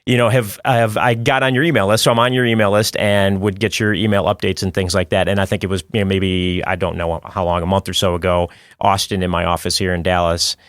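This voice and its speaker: A man's voice: low voice, flat delivery, a little fast, few pauses, a bit bright, clear, resonant tone, no echo, clean studio sound. A man hosting a podcast, chatting casually and rambling with repeated words and restarts. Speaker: podcast host. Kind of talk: casual interview chat.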